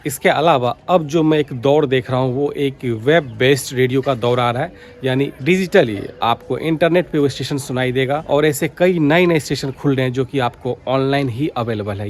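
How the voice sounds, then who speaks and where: Man vlogging over clear background noise. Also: medium pitch, moderate pace. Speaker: radio hobby vlogger. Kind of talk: informal vlog commentary.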